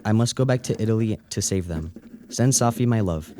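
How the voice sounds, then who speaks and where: A gallant young fellow , voiced by a man, in a radio play. His voice sits low. He speaks with courtesy and earnest conviction. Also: a little fast, mellow, slight background noise, lightly acted.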